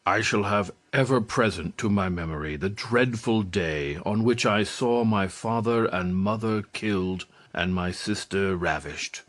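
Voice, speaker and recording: A man voicing a bold young priest commander in a storybook reading, speaking in a measured, grave way. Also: lowish voice, fairly bright tone.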